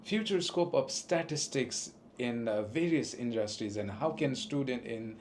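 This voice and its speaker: A man's voice: mid-range voice, animated, measured pace. A man from Nepal hosts a casual podcast interview, his voice sitting in a middle register. He asks questions eagerly and keeps affirming what his guest says. A faint hiss runs under the recording. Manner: eager, frequent backchannel affirmations. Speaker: podcast host.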